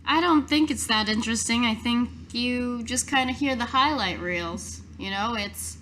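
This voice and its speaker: A woman's voice: a little high, normal speed, a bit bright, slight background noise. A woman playing a tabletop game, improvising casually in character.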